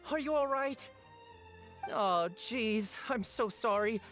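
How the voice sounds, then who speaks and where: A man's voice: extremely high pitch, takes it slowly, a bit bright, soft background music, full character voice. A man playing an apologetic, earnest young newspaper seller in a game, hesitant, polite and chatty. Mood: flustered, sorry. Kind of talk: English game dub.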